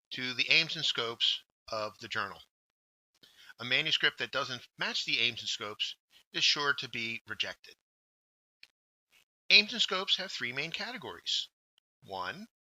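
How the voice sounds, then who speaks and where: A man presenting a scripted instructional workshop, with deliberate, emphatic phrasing. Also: a little high, sharp tone, dry recording, poor audio. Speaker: workshop presenter, journal editor.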